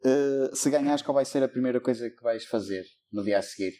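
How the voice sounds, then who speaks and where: A man with a European Portuguese accent hosting an interview, asking quick questions and giving short affirmations. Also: medium pitch, mellow. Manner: brisk, affirming.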